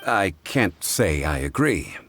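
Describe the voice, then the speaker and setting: An actor playing a calm, learned male consultant in a game cutscene, deep and courteous, correcting others in an unhurried, polite way. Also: slight background noise, full character voice. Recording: game cutscene with faint score.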